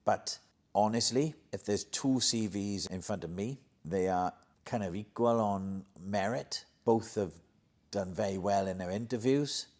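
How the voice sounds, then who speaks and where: A man who hires for an energy company, talking conversationally on a podcast, often dropping into quiet asides. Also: lowish voice, measured pace. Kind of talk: podcast discussion.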